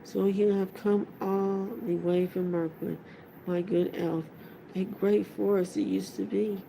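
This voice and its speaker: Storybook ancient tree being, male female reader, deliberate and hesitant, mellow creaky tone, faint noise. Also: mid-range voice, steady tone, rough recording. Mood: pleased. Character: ancient, slow, ponderous male tree creature.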